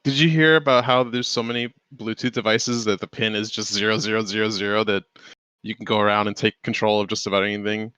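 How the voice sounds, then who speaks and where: A man's voice: on the low side, steady tone, fast, sharp tone, clear, resonant tone, no room sound. A man chatting on a group voice call, nerdy and explanatory, pointing out details and errors. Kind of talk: casual group chat over a voice call. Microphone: home voice-call mic. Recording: muffled voice-chat audio.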